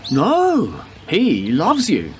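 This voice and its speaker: An actor playing a teasing, down-to-earth detective sergeant in a radio play, matter-of-fact and bantering. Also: male voice, a little high, huge pitch swings, extremely slow, full character voice. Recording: noisy amateur recording with background ambience.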